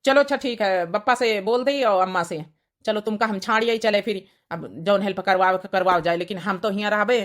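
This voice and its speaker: A woman's voice: mid-range voice, a little fast, natural voice. A woman voicing a young henpecked village husband in a cartoon comedy, placating and explaining in an Awadhi accent.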